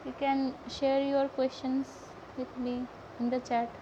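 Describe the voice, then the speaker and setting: Young Indian female art streamer, Odia native, high voice, halting and informal teaching, muffled low-grade audio. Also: level tone. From Odisha, India. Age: young adult. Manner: hesitant, stumbling, repeats words. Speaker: art live-stream host and painting teacher. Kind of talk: casual live painting tutorial with chat. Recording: dull lo-fi audio with heavy background noise.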